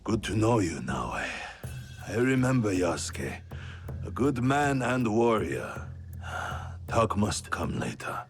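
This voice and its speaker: An actor playing a grave, weary samurai lord in a video game, with a proud, brooding, weighty delivery. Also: male voice, on the low side, sing-song, slow, heavily acted. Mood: composed. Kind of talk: video game cutscene acting. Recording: music clearly behind the voice.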